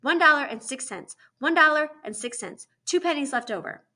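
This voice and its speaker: Woman acting high, insistent repetitive girl. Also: lively intonation, normal speed, pushed and bright. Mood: fixated insistence. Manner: repetitive, emphatic. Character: insistent young girl reciting sums repetitively.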